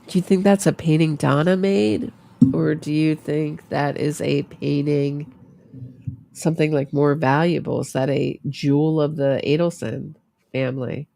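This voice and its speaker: A woman's voice: low-pitched, fairly even intonation, a little slow, creaky, dark tone, dry recording. A middle-aged woman who sounds congested, hosting a true crime show in casual livestream commentary, chatty and thinking aloud.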